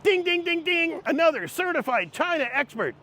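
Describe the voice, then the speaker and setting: A man's voice: very high-pitched, very animated, moderate pace, sharp tone, a little hiss or hum. A man hosting a commentary video, mocking his subjects in a snarky, sarcastic way. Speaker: commentary host.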